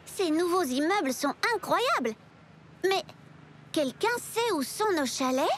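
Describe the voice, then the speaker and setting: A woman's voice: very high-pitched, expressive, measured pace, fairly bright tone, slight background noise, exaggerated voice. A woman voicing a kind, hopeful young animal girl in a French children's cartoon dub. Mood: puzzled. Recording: background music under the voice.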